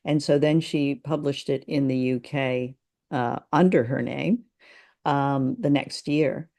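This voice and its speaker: Woman co-host explaining things haltingly. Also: very deep voice, warm tone. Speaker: podcast co-host. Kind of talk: casual explanatory discussion.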